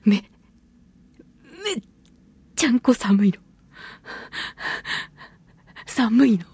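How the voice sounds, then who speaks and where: Husky, high-voiced woman chatting on radio. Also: expressive, warm tone. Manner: playful, drawn-out words and colloquial asides to listeners. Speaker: radio show host, voice actress. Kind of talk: casual solo radio chat.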